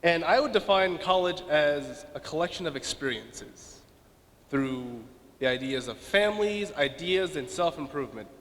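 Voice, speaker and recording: A man's voice: fairly high pitch, lively intonation, normal speed, a bit bright. Young guy student speech, jokey asides, reverby hall sound. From Las Vegas, Nevada. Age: young adult. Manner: self-deprecating, joking asides. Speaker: student speaker. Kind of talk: speech at a donor event.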